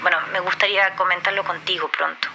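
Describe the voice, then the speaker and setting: A woman's voice: fairly low pitch, level tone, quick pace, noisy background, natural voice. A woman playing a thoughtful, uncertain, sincere young professional leaving a voice message in an audio drama. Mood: pensive, sincere.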